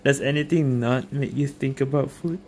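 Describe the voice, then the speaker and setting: A woman's voice: very deep voice, steady tone, normal speed, darkish tone, a little hiss or hum. A woman giving casual, amused gameplay commentary, reacting hesitantly and reading out on-screen text. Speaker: gaming video creator.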